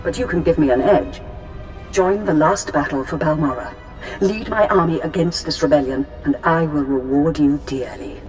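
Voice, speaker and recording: An actress playing a commanding, seductive Sith lord in a video game, speaking in a measured, imperious way. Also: female voice, low-pitched, a little mellow, close to an everyday voice. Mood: cool command. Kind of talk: dramatic video game acting. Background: background music.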